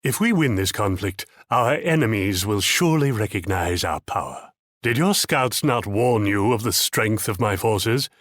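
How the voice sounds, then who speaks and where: An actor playing a haughty, shrewd Dutch military commander in a strategy game, pompous, theatrical and patronizing. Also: male voice, lowish voice, lively intonation, measured pace, a bit bright, no room sound, clean studio sound. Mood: condescending advice. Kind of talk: scripted strategy game character lines.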